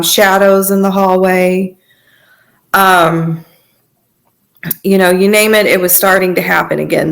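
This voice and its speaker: A woman telling her personal story as a podcast guest, in a conversational, earnest and halting way. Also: on the low side, creaky.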